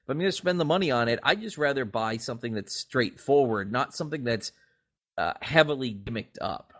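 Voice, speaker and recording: A man co-hosting a podcast, talking casually and giving his opinions in an animated, skeptical, sarcastic way. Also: mid-range voice, fairly quick. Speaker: podcast co-host.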